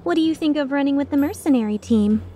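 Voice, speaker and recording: A woman's voice: high voice, fast, warm tone, a little hiss or hum, natural voice. A woman voicing a playful, taunting young mercenary villain in a game fan dub, sardonic and mock-sincere. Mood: mocking, coaxing.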